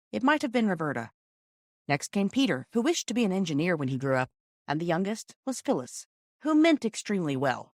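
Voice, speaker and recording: A woman's voice: low-pitched, no room sound, clean studio sound. A woman reading a children's novel aloud as scripted audiobook narration. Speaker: audiobook reader.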